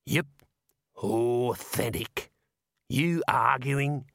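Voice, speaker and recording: A man's voice: medium pitch, very slow, creaky, fairly bright tone, no room sound, heavily acted. A man voicing a shifty, pushy male street hawker, talking in a wheedling sales patter. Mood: indignant. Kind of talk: audiobook character reading.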